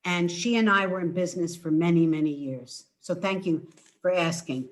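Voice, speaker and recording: An older woman giving an informal book talk over a video call from home, halting and emphatic as she speaks. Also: on the low side. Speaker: author presenting her book. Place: indoors at home.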